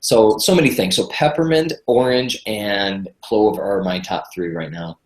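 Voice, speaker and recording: A man's voice: fairly low pitch, a little slow, echoey room. A congested man from Michigan, a guest health expert chatting enthusiastically in a podcast interview. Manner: chatty, enthusiastic, repeats words when starting sentences.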